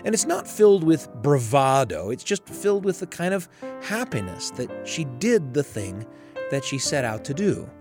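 Man hosting radio storytelling, very lively intonation. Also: a little high, normal speed, faint music behind. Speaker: radio show host. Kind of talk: scripted storytelling radio narration.

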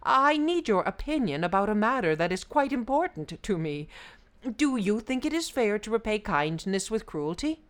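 A woman's voice: mid-range voice, big pitch swings, normal speed, pitched above the performer's own voice, natural voice. A woman voicing a kind, timid, poor Brahmin man who speaks earnestly and pleadingly in a folk tale. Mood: earnest asking. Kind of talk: oral folk tale storytelling.

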